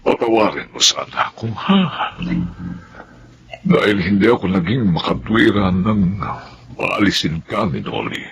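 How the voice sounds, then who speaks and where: A remorseful older employer. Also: male voice, deep voice, sing-song, creaky, fairly bright tone, slight background noise, full character voice. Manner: apologetic, measured. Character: remorseful older male employer Sir Fausto. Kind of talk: radio drama acting.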